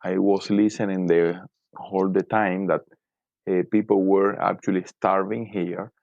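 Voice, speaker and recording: A man from Cuba with a Cuban Spanish accent, a guest in an interview, speaking haltingly with many restarts and fillers. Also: sits low, level tone, vocal fry, dry recording, muffled recording. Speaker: podcast guest. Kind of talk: casual interview conversation.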